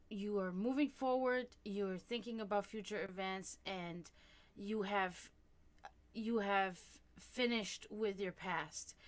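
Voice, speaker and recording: A woman born and raised in Germany, with German as her first language, chatting warmly as she reads tarot cards for viewers on a live stream. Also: mid-range voice. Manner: chatty, animated, laughs often, talks to chat.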